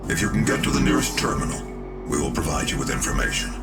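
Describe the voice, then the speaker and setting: A man playing a calm, commanding cyborg scientist and company chief in a game, speaking in a measured, formal way. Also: lowish voice, creaky. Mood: measured, instructive. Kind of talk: scripted game cutscene acting. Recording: distant voice under loud game music.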